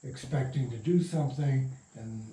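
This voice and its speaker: A man's voice: mid-range voice, moderate pace, warm tone, a little hiss or hum. An older man telling anecdotes casually as an interview guest, rambling and circling back mid-sentence. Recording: echoey room, distant mic.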